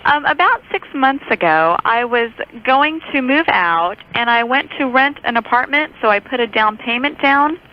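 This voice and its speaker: A woman's voice: high voice, lively intonation, moderate pace, few pauses. A woman in her twenties with an American accent, calling a radio show and casually telling her story. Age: 20s. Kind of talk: casual phone-in account. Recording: dull lo-fi phone call on radio.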